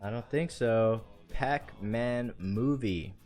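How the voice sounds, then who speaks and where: A man's voice: mid-range voice, slow pace, a little mellow, soft background music. A man hosting a casual, rambling chat show, talking in an informal, slangy way. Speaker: show host. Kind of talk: casual chat about video game movies.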